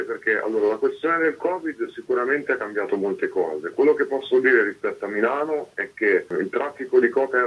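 A man's voice: lowish voice, a bit bright, breathy edge. A man being interviewed, explaining things informally in a discursive, analytical manner. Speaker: interviewed author. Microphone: phone call. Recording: muffled narrowband telephone line.

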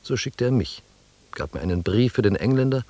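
Ein Schauspieler spielt einen Schreiber, der in einem Hörspiel gemessen und sachlich von seiner Vergangenheit erzählt. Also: male voice, medium pitch, expressive, a little fast, warm tone. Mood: reflective, wry. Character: male native scribe recalling his past, plainspoken, wry. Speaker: narrator in a radio drama. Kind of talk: first-person storytelling narration.

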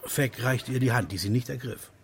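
A man's voice: medium pitch, fairly quick, a little hiss or hum. A man reading scripted literary narration in a radio play.

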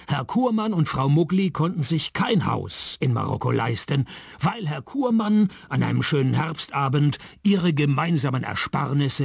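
A man's voice: on the high side, vocal fry, husky, no echo, heavily acted. An actor playing a dry, sardonic male private detective who narrates and questions people in a radio play. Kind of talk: radio play first-person narration and dialogue.

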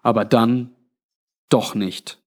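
A man's voice: medium pitch, steady tone, slow. A man voicing a weary, blunt old undead man with a grave, resigned manner in a narrated story. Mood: grave recollection.

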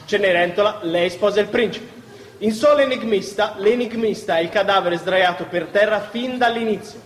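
A male stage performer delivers a live recitation in a theatre. His voice sits high for a man. The sound is very echoey, with a long hall reverb. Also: moderate pace, a little hiss or hum.

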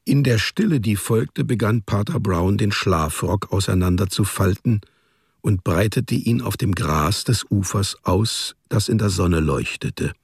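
A man narrating a literary radio drama in a deliberate, descriptive storytelling manner. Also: fairly low pitch, expressive, husky, no echo, clean studio sound.

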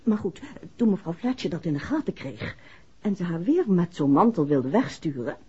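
An actress playing a composed, caring detective's wife in a 1960s radio play, with a husky voice. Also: female voice, fairly low pitch, big pitch swings, moderate pace, dark tone, full character voice. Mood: explaining. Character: composed, caring detective's wife recounting events to her husband. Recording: dull, muffled 1960s radio recording.

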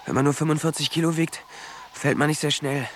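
A man's voice: a little high, steady tone, brisk, fairly bright tone, a little hiss or hum, close to an everyday voice. A man playing a dreamy, obsessive teenage boy in a radio drama, speaking in an absorbed, earnest way. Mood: confident, matter-of-fact. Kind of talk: German radio drama acting.

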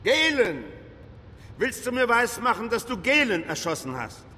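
Irascible, animated police chief. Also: male voice, very high-pitched, moderate pace, big-room sound, a little hiss or hum, exaggerated voice. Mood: indignant. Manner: blustering, sarcastic, commanding. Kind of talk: crime radio drama acting.

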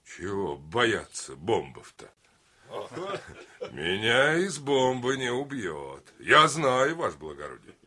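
Актёр в радиоспектакле играет бесстрашного простоватого солдата-крестьянина с медленной деревенской речью и хрипловатым голосом. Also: male voice, on the low side, very animated, bright, forceful tone, husky, full character voice. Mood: placid, fearless. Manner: unhurried, rustic peasant speech. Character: slow, fearless, simple-minded bear-like peasant soldier. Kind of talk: radio drama acting.

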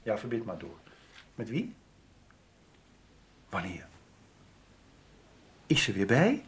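A man's voice: lowish voice, wildly swooping pitch, slow pace, fairly bright tone, slight background noise, exaggerated voice. An actor in a radio soap playing a terse, businesslike middle-aged father. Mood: curt, concerned. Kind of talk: 1990s radio soap acting.

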